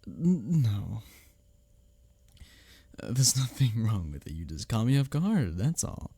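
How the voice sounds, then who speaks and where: A man acting an arrogant, teasing office rival who is secretly smitten, in an intimate audio roleplay, often breathy and near a whisper. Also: medium pitch, sing-song, mellow, no room sound, heavily acted. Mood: flustered, defensive. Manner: smirking, teasing, halting when flustered. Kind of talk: intimate ASMR audio roleplay addressed to the listener.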